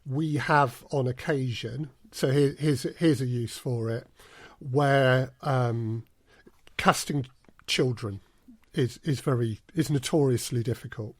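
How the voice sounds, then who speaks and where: A man's voice: medium pitch, slow pace, no echo. A man speaking as an audio drama producer in an interview, with hesitant, stop-start phrasing. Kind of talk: interview conversation.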